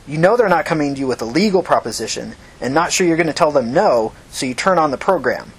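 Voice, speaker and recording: A man's voice: a little high, lively intonation, quick pace, breathy edge, rough recording. A man reading the part of a tough, angry, foul-mouthed woman who questions people bluntly like an amateur detective in an audiobook. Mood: angry, accusing. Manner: blunt, pressing, confrontational.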